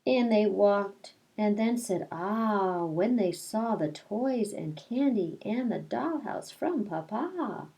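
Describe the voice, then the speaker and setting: A woman's voice: mid-range voice, expressive, slow pace, vocal fry, hardly any pauses, dark tone, poor audio. A woman teacher doing story time for kindergarteners, reading aloud and explaining things simply to young children. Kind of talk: picture-book read-aloud with casual asides to children.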